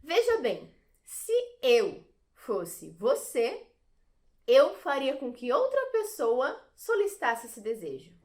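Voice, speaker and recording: A woman plays a bubbly little fairy in an audio drama. The voice is high and very lively, sing-song and theatrical, with a teasing edge. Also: takes it slowly, exaggerated voice. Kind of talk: audio drama stage acting.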